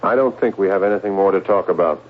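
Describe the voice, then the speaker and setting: An actor playing a desperate, paranoid psychiatric patient in a radio drama, halting and agitated, repeating himself and pleading. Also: male voice, deep voice. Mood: defensive. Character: desperate paranoid male psychiatric patient, convinced aliens threaten mankind. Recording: narrow tinny old radio broadcast, hiss.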